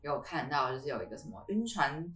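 A woman's voice: low-pitched, sing-song, brisk, a bit bright. A young adult woman with a Taiwanese Mandarin accent, chatting casually as a podcast co-host. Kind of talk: casual chat. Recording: roomy echo, music underneath.

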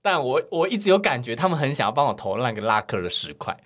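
A man's voice: mid-range voice, big pitch swings, fast talker, a bit bright. A man with a Taiwanese accent telling stories casually and comically, with a playful, theatrical, exaggerating manner as a podcast guest.

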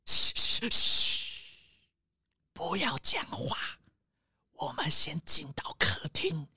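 Paranoid whispering squirrel tycoon. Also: female voice, sits low, huge pitch swings, takes it slowly, sharp tone, dry recording, studio quality, heavily acted. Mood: secretive, fearful. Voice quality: breathy whisper. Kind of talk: children's detective audio drama.

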